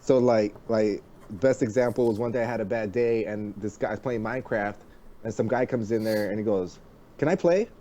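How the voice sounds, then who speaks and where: A man's voice: lowish voice, mellow, slight background noise. A man co-hosting a streamer talk show, chatting casually, leaning heavily on "like" and swearing. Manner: fast, heavy use of "like", swearing. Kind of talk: casual chat.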